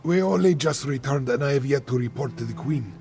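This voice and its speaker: An actor playing a weary, grateful wounded soldier in a fantasy game, speaking in an earnest, measured way. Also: male voice, mid-range voice, mellow, faint music behind, lightly acted.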